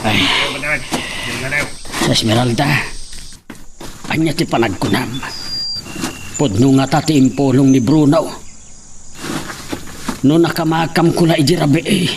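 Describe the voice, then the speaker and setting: A man's voice: medium pitch, measured pace, long pauses, lightly acted. A man playing an earnest, protective father and leader in an audio drama, speaking firmly. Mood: troubled. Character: earnest, protective father leading his companions. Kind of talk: Ilocano radio-style drama acting. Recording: noisy with chirping insects.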